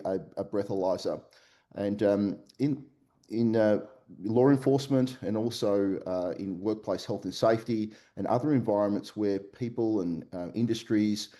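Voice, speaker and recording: A man with an Australian accent, a company CEO answering podcast interview questions remotely, halting often with fillers and restarts. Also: on the low side, mellow. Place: remote call from Melbourne.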